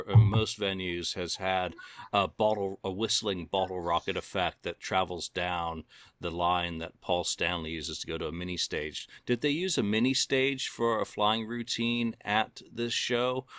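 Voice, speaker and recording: A man's voice: deep voice, a bit bright, no room sound. A man hosting a podcast chat, asking long, probing questions. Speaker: podcast host. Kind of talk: casual chat.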